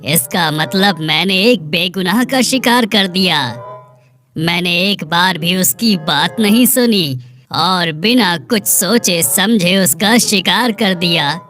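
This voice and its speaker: A woman's voice: lowish voice, expressive, takes it slowly, a bit bright, soft background music. A woman voicing a stern, vengeful leopard king of the jungle in a cartoon moral story, speaking with a commanding manner. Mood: remorseful, vengeful.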